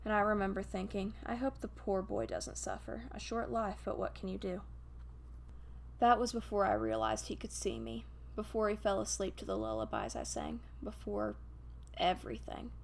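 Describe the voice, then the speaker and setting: A woman voicing a gentle, grieving male guardian angel who tells a story in a wistful, intimate way. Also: medium pitch, expressive, normal speed, vocal fry, slight background noise.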